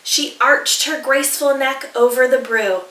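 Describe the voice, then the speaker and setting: A woman reads a children's story aloud with deliberate, animated phrasing. The voice is high and bright, slightly distant in a roomy, echoey space. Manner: deliberate, animated, inviting participation. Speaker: teacher reading aloud. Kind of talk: expressive children's storybook reading. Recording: roomy echo, distant mic.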